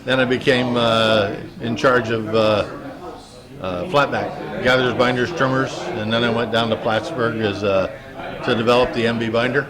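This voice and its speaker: A man's voice: medium pitch, steady tone. Older man reminiscing over crowd chatter. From upstate New York, United States. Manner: halting, searching for memories. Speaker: interviewee, retired plant's former engineer. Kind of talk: casual reminiscing interview. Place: indoor social gathering. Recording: busy room with crowd chatter.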